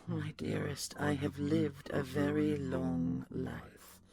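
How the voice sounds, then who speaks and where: A man playing an ancient, secretive but tender dragon in an audio roleplay, with a gravelly, often whispery voice and a measured, intimate delivery. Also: on the high side, level tone, darkish tone, soft background music, exaggerated voice. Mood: hurt concern.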